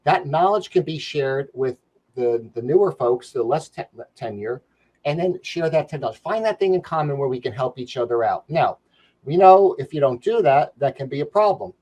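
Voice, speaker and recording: Guest trainer, male, New York accent, loose conversational delivery, frequent fillers, middle pitch. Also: animated, moderate pace, darkish tone, poor audio. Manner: rambling, frequent "you know" fillers and restarts. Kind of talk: conversational interview answers.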